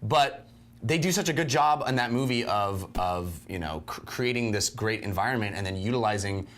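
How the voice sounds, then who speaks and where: A man's voice: lowish voice, animated, normal speed, fairly bright tone. A man co-hosting a film review show in casual conversation, speaking emphatically and repeating phrases for effect.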